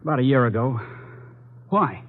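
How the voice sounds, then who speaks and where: A man's voice: mid-range voice, lively intonation, normal speed, natural voice. An actor playing a worried, earnest young prisoner in his twenties, in 1940s radio drama style. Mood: guarded, earnest. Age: 20s. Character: worried, earnest young man in jail, stubbornly loyal. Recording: muffled vintage radio recording.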